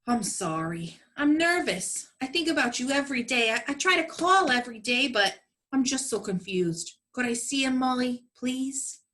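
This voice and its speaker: A woman's voice: high voice, animated, normal speed, fairly bright tone. A woman playing a nervous, apologetic married man pleading in a comedic radio play.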